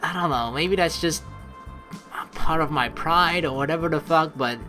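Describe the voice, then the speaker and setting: A man's voice: a little high, moderate pace, bright, forceful tone. A man doing casual let's play commentary, thinking aloud in a rambling, informal way with some swearing. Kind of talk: casual game commentary with reading of on-screen text. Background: game music.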